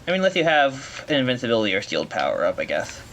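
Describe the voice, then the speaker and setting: A man of middle pitch talks through his speedrun as he plays, explaining as he goes and stopping to react when a turn goes wrong. He often corrects himself mid-sentence. A faint hiss and some clicking sit behind his voice. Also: huge pitch swings, fast talker, a bit bright. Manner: explains while playing, reacts to mistakes, self-correcting. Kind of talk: live commentary while playing.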